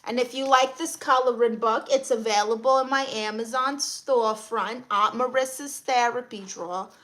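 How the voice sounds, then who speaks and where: Bright-voiced Brooklyn woman chatting on a livestream. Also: a little high, steady tone, normal speed, rough recording. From Brooklyn, New York, USA. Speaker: livestream host. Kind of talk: casual livestream chat, greeting viewers and reading comments.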